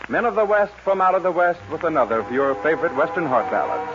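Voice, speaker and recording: A man's voice: on the high side, fast, a bit bright. A man announcing a western radio show in a declamatory, showmanlike 1940s style. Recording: muffled 1940s radio recording, music behind.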